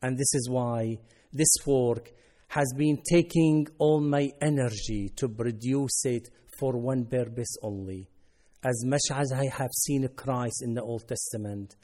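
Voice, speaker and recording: Man, from Syria, Arabic native speaker, mellow, earnest testimony, halting English. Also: medium pitch. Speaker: ministry worker. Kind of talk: personal testimony talk.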